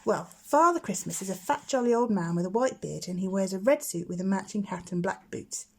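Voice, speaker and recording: A woman's voice: medium pitch, brisk, creaky, a little mellow. A woman voicing a confident little schoolgirl in a storybook, chatty and proud, with a matter-of-fact know-it-all manner. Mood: explaining.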